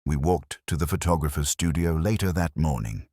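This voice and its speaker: Very deep-voiced man narrating detective fiction. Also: moderate pace, darkish tone, dry recording, studio quality. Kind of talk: scripted first-person detective story narration.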